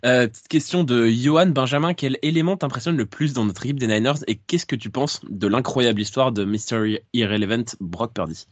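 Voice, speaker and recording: A man's voice: mid-range voice, a little fast, few pauses, no room sound. A man hosting a podcast, reading out listener questions and teasing his co-hosts. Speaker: podcast host. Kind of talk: casual podcast chat.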